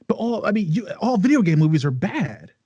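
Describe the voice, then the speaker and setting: Animated, higher-pitched man bantering. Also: fairly quick, slightly rough, no echo, lo-fi sound. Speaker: podcast panelist. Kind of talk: casual banter.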